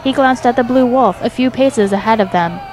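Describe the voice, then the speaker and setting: A woman's voice: fairly high pitch, brisk, a little mellow, a faint crowd, slight background noise. A woman reading scripted narration for a dramatic fan fiction audio story. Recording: music behind the voice. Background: music.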